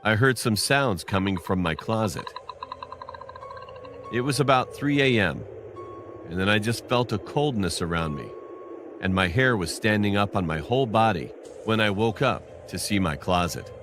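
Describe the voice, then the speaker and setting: A man's voice: on the low side, lively intonation, moderate pace. A man narrating a scripted scary story in the first person. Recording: music under the voice. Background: clearly audible music.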